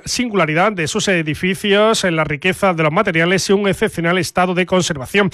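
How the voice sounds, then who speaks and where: A man's voice: high voice, a bit bright, dry recording, clean studio sound. A man, presenting local radio news from a script.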